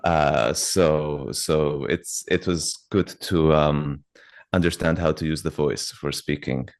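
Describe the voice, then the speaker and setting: A man in his thirties, raised in Hungary with Georgian as his first language, speaking as a guest pianist in a calm, measured, polite way with frequent fillers. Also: a bass voice. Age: 30s. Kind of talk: interview conversation.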